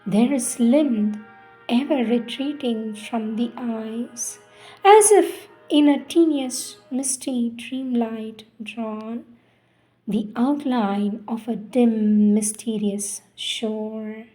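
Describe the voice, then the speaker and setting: A woman reciting spiritual poetry in a solemn, measured, deliberate way with pauses between phrases. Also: fairly high pitch, dark tone, rough recording. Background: music.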